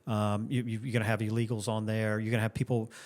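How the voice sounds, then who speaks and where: A man's voice: on the low side, level tone, fast talker, a little mellow. A man of fifty-nine from Florida, running for Congress, answers interview questions earnestly and often repeats himself and restarts his sentences. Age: 50s. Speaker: congressional candidate.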